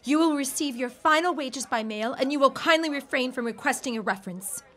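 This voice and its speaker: A haughty, proper young fiancee of 1916 in an audio drama. Her very high, piercingly bright voice carries formal indignation. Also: fairly quick. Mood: indignant. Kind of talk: period audio drama acting.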